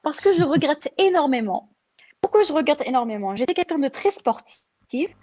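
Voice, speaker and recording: Hesitant woman, slightly high and dry. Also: big pitch swings, normal speed, darkish tone, no room sound. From Algeria. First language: French. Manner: hesitant, many fillers and restarts. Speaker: guest pharmacist. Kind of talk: casual interview answers.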